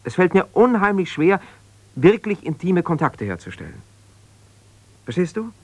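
An actor playing a wounded, self-justifying husband in a naturalistic radio drama, talking in a hesitant, searching, conversational way. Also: male voice, sits high, sing-song, moderate pace, a little mellow, no echo, exaggerated voice. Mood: earnest, searching. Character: defensive, wounded husband pouring out his troubles to a confidante. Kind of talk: naturalistic 1970s German radio drama monologue.

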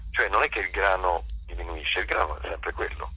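Very deep, husky man. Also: pushed and bright, dull audio. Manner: halting, searching for words with many repetitions. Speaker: guest, actor and playwright. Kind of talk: conversational interview, explaining his work.

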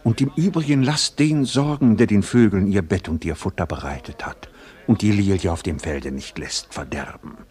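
An actor playing a staid, moralizing older pastor in a radio play, with an unctuous, sermonizing manner. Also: male voice, low voice, moderate pace, darkish tone, slightly rough. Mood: pious, admonishing. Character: staid, moralizing older male village pastor, complacent and preachy.

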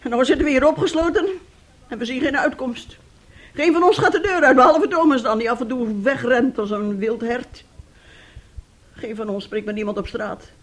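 A middle-aged mother played by a woman in a radio drama, worn down and bitter. Her voice is husky, and she speaks with theatrical, confiding weariness, full of despair at her unhappy household. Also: a little high, normal speed, natural voice. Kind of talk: Dutch radio play acting.

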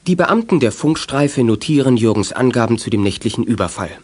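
Medium-pitched male story narrator. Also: expressive, fairly quick, darkish tone, a little hiss or hum. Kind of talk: scripted storytelling narration for children's audio drama.

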